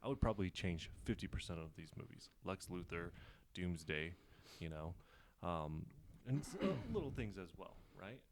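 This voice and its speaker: Deep-voiced man arguing on a podcast. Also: expressive, noisy background. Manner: talks fast when pushing back, repeats words, quizzes others. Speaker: podcast co-host. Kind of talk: casual chat.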